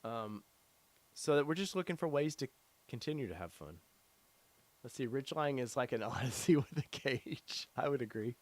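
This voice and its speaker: A man's voice: medium pitch, expressive, normal speed, no echo. A middle-aged man hosting a casual live stream, reading out viewer comments with lots of fillers, his voice getting tired and worn. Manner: rambling, many fillers, reads chat aloud. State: losing his voice. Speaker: podcast host.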